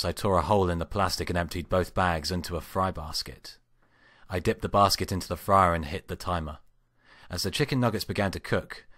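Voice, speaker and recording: A man's voice: deep voice, a bit bright, no echo, clean studio sound. A man who is an amateur story reader, both chatting casually and narrating fan fiction.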